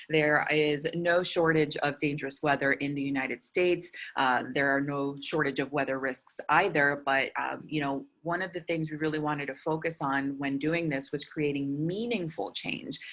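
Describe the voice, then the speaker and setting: A woman meteorologist giving a prepared conference presentation, earnest and steady, with frequent filler sounds. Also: low voice.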